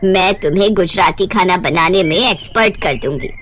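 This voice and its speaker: A woman voicing a kindly elderly auntie in an animated storybook tale, talkative, affectionate and reassuring. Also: fairly low pitch, moderate pace, a little hiss or hum, voice pushed down, natural voice. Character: kindly elderly Gujarati woman, chatty cooking teacher.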